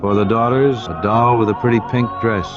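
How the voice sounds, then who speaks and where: A man narrating a rhyming storybook tale in a measured, theatrical scripted style. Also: mid-range voice, fairly even intonation, highs cut off, music in the background.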